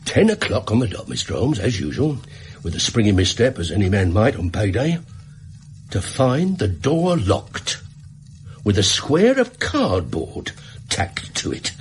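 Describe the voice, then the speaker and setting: A man's voice: lowish voice, wildly swooping pitch, unhurried, a little hiss or hum. An actor playing an earnest, plain-spoken Victorian English shopkeeper in a British radio drama, indignant as he labours through his story. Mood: plaintive.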